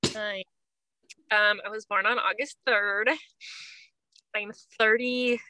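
A woman's voice: mid-range voice, steady tone, takes it slowly, bright, forceful tone, dry recording, rough recording. A woman in her thirties chatting casually as a podcast co-host, informal and a little self-deprecating. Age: 30s. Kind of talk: casual chat.

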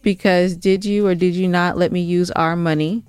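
A woman's voice: on the low side, fairly even intonation, moderate pace, darkish tone, smooth, dry recording. A woman co-hosting a podcast, chatting casually and informally, with music playing behind her. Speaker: podcast co-host.

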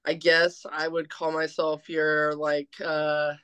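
Low voiced woman on a podcast, lots of ums, dry close mic. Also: monotone, slow, fairly bright tone, clean tone, no echo. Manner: hesitant, many ums. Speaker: podcast guest, content creator. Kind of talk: casual chat.

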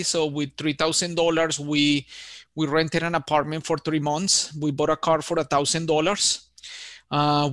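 A man's voice: on the high side, a bit bright, no echo. Upbeat Colombian-accented man, dry sound. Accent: Colombian Spanish. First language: Spanish. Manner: friendly, enthusiastic, says man and you know often. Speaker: architect and podcast guest. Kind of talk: casual interview storytelling.

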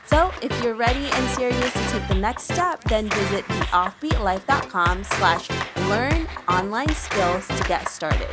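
A woman's voice: fairly high pitch, expressive, a little slow, few pauses, over loud music. A woman hosting a podcast, moving between scripted introductions and upbeat interview chat. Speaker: podcast host.

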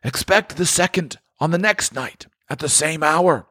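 A man voicing an anguished, mournful old male ghost in chains, declaiming in a drawn-out lament. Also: fairly high pitch, pushed and bright, no room sound, clean studio sound, voice pushed up. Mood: solemn.

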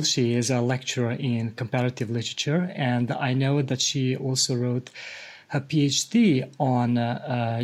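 A man from Bosnia hosts a conversational podcast interview, searching for words with frequent pauses. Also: medium pitch, a little mellow. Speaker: podcast host.

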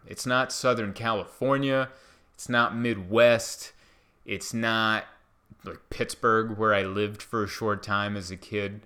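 Bright-voiced man with a slight slur. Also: fairly low pitch. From Hungary. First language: Hungarian. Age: 30s. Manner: slightly slurred, rambling diction. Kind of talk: casual chat.

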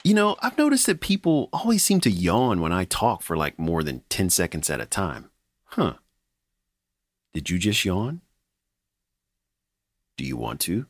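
A man's voice: deep voice, huge pitch swings, unhurried, pauses often, no room sound. A man hosting a podcast, talking casually with dry, self-deprecating humor. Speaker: podcast host. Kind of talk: casual scripted podcast narration.

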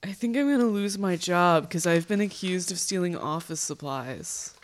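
A woman's voice: fairly low pitch, lively intonation, moderate pace, close to an everyday voice. A woman playing a naive young male office worker, casual and eager to please, in a comedy table read. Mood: sheepish.

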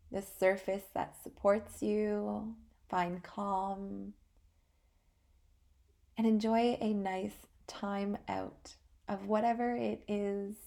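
A woman leads a guided meditation at a very unhurried pace. Her voice is soft-edged and mellow, with a creaky fry in it. She sounds calm and soothing, leaving long pauses between short phrases. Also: mid-range voice. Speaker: guided meditation podcast host.

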